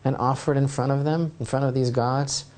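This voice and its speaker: A man's voice: medium pitch, little pitch movement, brisk, a little mellow, close to an everyday voice. A man voicing a woman who pleads to have her offering made. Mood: pleading.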